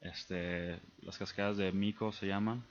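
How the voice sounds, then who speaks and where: A young man with a Mexican accent, a guest on a casual radio interview, relaxed and hesitant with many fillers. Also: low voice, measured pace, lo-fi sound. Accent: Mexican Spanish.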